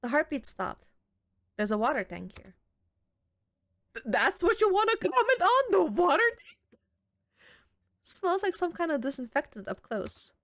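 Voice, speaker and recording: A woman giving expressive, sweary commentary as she plays a horror game, reading some of the text aloud. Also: sits high, unhurried, pauses often, no echo, clean studio sound. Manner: expressive, sweary, reacting out loud. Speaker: video game streamer.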